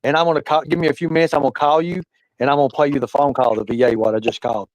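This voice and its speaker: A man's voice: a little high, fairly even intonation, very fast, no echo, rough recording. A man on a live stream, defending himself insistently and repeating himself. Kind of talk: argumentative live chat.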